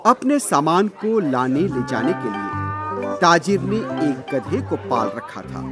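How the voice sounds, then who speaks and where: A man narrating a scripted children's fable as a storyteller, expressive and deliberate. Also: fairly high pitch. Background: music.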